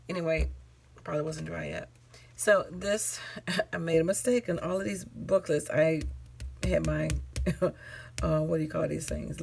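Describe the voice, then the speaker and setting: A woman with a low, gravelly voice talks casually through her crafting projects. She sounds tired and a little raspy from the late hour, wandering off track and correcting herself as she goes. A faint noise sits under the recording. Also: darkish tone. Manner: rambling, self-correcting, chatty with fillers. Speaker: crafting channel host. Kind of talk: casual show-and-tell crafting chat.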